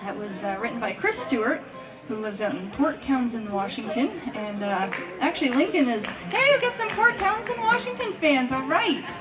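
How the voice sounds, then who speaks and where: A woman with a high voice introduces songs in casual, effusive stage banter. She sounds like a singer fronting her band at a live concert. The sound is distant, with prominent guitar picking underneath. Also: lively intonation, normal speed.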